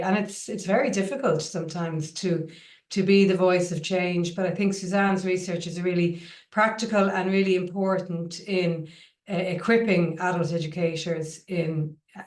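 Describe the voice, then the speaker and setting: A woman who supervises research, making an earnest comment in a webinar over a video call, with halting restarts. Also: on the low side, a little mellow, echoey room, recorded from a distance. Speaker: academic supervisor.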